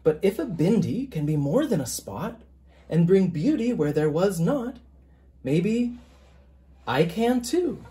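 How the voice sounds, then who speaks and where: Mellow, expressive storybook reader. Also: mid-range voice, slow pace. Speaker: teacher reading a picture book aloud. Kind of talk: expressive children's storybook read-aloud.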